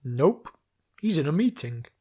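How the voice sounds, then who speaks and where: A man's voice: fairly high pitch, sing-song, measured pace, darkish tone, breathy edge, pitched above the performer's own voice, exaggerated voice. A man voicing a grumpy, sarcastic male castle guard who bellows down bluntly from the battlements. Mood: gruff shouting.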